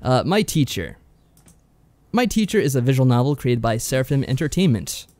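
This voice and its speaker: A man's voice: medium pitch, big pitch swings, moderate pace, darkish tone, no echo. A man co-hosting a podcast, casually summarising and reviewing games in a dry, understated way. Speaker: podcast co-host.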